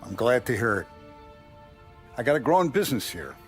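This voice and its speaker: An actor playing a calm, authoritative mafia boss who lays down rules in a measured, lecturing way, in a game cutscene. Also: male voice, medium pitch, close to an everyday voice. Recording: faint music behind.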